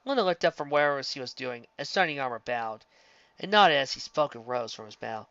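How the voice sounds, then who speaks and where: A man reads a fan story aloud in a steady storybook manner, stumbling now and then over a word. His tone is bright and forward. The recording is dry and somewhat muffled, with a lo-fi home-mic quality. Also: mid-range voice, a little fast, clear, resonant tone, no echo. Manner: steady reading with occasional slips and restarts. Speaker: fanfiction reader. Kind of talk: storybook narration.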